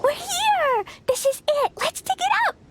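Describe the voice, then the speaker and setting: Very high, bubbly little girl. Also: expressive, measured pace, background noise. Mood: excited, urgent. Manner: bubbly, childlike, exclamatory. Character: excitable little girl adventurer who loves explosions and treasure. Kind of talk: video game voice acting.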